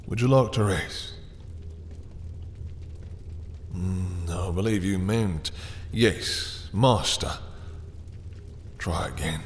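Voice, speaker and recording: A seductive, menacing vampire master, acted by a man for intimate roleplay audio. His low voice is husky and crackles with fry. He speaks with unhurried, condescending command, purring endearments as if to a possession. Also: fairly bright tone, full character voice. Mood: soothing, possessive.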